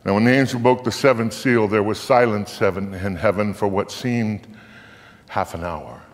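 An older man giving a live theatrical recitation of scripture on stage, solemn and declamatory, with deliberate pauses and swells. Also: lowish voice. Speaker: dramatic reader. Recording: reverberant hall, long echo.

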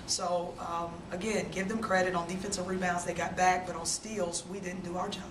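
A woman basketball coach at a postgame press conference, speaking in a subdued, measured way with many fillers, into a desk mic in a press room. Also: fairly low pitch, flat delivery. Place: press room with sponsor backdrop. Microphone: desk mic on stand. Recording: roomy, distant, noisy press room audio.

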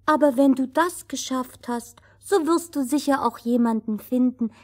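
A woman voicing a sympathetic young princess in a fairy tale, gentle and determined. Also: high voice, moderate pace. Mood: pitying, tender.

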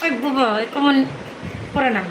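High-pitched woman in an echoey, noisy room. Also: measured pace. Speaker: live stream co-host. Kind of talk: casual live chat with viewers.

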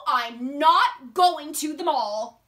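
A woman's voice: high-pitched, animated, takes it slowly, bright, forceful tone, full character voice. A woman voicing an exasperated young boy in a children's story, whining and protesting melodramatically. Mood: annoyed. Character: exasperated, dramatic young boy mortified by his mother.

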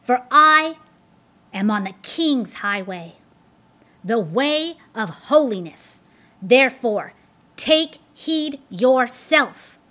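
Storybook hero: woman playing a humble, steadfast pilgrim, earnest and a touch higher-pitched. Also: slow, a bit bright. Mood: defiant. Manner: earnest, firm, pious. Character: steadfast young male pilgrim, humble and defiant. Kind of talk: storybook read-aloud.

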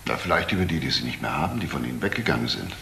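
An actor playing a middle-aged senior detective in a German crime radio play, questioning deliberately and insistently. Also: male voice, extremely low pitch, lively intonation, vocal fry, reverberant, noisy background, heavily acted. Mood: probing, deliberate.

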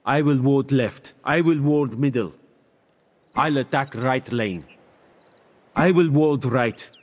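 A mystic seer god from a video game, grand and serene with a smug wisdom. He speaks formally and theatrically in measured phrases, and his voice rings out with a long reverb. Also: medium pitch. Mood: composed, tactical. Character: mystic male seer deity, serene, grandiose, smugly wise. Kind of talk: video game character voice lines.

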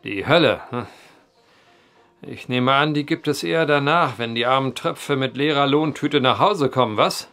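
An actor playing a polite, wry gentleman traveler with old-fashioned, wordy phrasing in a German audio drama. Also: mid-range voice, normal speed, soft background music, natural voice. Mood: dry, chatty.

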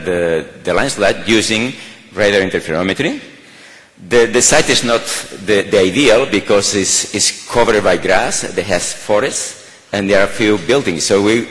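A man in his sixties with a low, deep voice delivers a keynote lecture in careful English, pausing often on fillers and restarting phrases. He speaks into a podium microphone, and his voice carries a long reverb from the large conference hall. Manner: halting, many fillers and restarts.

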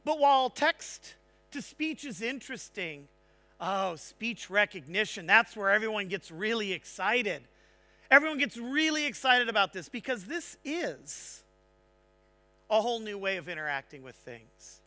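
A man speaking on a large conference stage, giving an energetic technical talk and checking in with the audience. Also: sits high, a little slow. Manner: energetic, engaging, asks the audience "right?".

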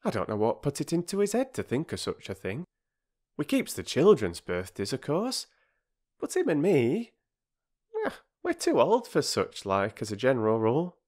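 A woman's voice: very deep voice, wildly swooping pitch, normal speed, a little mellow, pitched above the performer's own voice, full character voice. A man voicing a talkative, humble working-class mother who rambles on in dialect speech. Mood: flustered.

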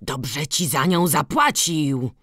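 A man voicing a stubborn, quarrelsome young peasant in an audiobook, blurting out loud indignant outbursts in rural dialect. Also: a little high, lively intonation, takes it slowly, dry recording, studio quality, exaggerated voice. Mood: angry, accusing. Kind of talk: dramatized audiobook reading of rural dialect dialogue.

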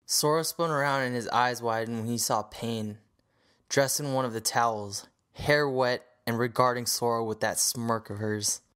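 A man's voice: mid-range voice, fairly even intonation, normal speed, a bit bright, clean tone. A man reading scripted story narration as the narrator of an audio drama.

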